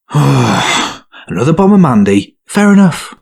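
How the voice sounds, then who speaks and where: A man's voice: mid-range voice, very animated, takes it slowly, vocal fry, breathy edge, exaggerated voice. A man playing a fussy, prim young student in an audio drama, pompous and reproachful with formal wording. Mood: exasperated. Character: fussy, stingy, hypochondriac young male student, prim and indignant.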